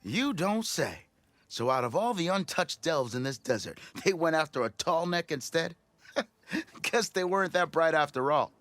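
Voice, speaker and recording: Blustering expedition boss, swooping theatrical delivery. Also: male voice, a little high, lively intonation, moderate pace, fairly bright tone, dry recording. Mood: indignant, boastful. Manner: theatrical, wheedling salesman's patter. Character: blustering, self-important male expedition leader, shifty salvage boss. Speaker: expedition leader. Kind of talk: video game cutscene dialogue.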